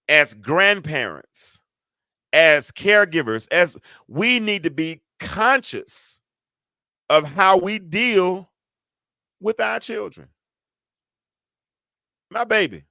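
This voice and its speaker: A middle-aged man with a deep, stentorious voice, hosting a talk show and telling a story to his audience. His tone is bright and forceful, and he leans on repetition and folksy asides for emphasis. The sound is dry and close. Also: vocal fry, no room sound. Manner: emphatic, repeats words for effect, folksy asides.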